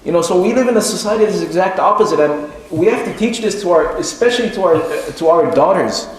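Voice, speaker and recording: A man giving an Islamic religious lecture, explaining haltingly and repeating key phrases for emphasis. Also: sits high, lively intonation. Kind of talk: sermon-like lecture retelling and explaining a religious story. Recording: very echoey room with faint noise.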